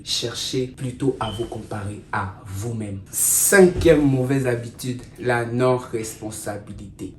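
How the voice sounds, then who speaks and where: A man from Congo, giving a motivational talk to viewers as a content creator, stressing points emphatically. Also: on the low side. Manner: emphatic, addresses viewers directly as "guys", repeats for stress. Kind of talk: motivational self-help talk. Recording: roomy and distant with faint noise.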